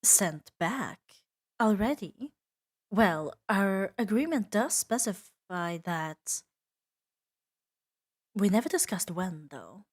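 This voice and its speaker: A woman voicing a seductive, possessive female demon in an intimate ASMR roleplay, teasing and soothing with soft dominance. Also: mid-range voice, animated, slow pace, long pauses, breathy edge. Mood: pleased, sly. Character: seductive, possessive female demon doting on her new pet.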